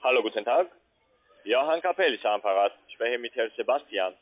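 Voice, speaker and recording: A man's voice: medium pitch, animated, brisk. A man with a foreign accent in German, a non-native speaker making a scripted call-centre sales call. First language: not German. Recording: tinny narrowband phone line.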